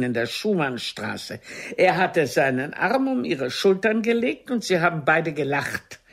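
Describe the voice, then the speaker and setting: Slow, theatrical aging stage diva. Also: female voice, low voice, husky, full character voice. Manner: theatrical, deliberate, cutting. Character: aging, proud, wounded Viennese stage diva, bitterly accusing. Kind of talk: German radio play acting.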